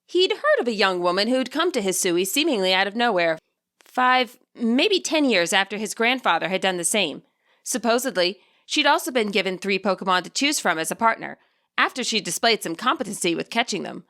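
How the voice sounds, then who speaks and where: A woman reading a fan fiction story aloud as scripted, expressive narration. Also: mid-range voice, fairly quick.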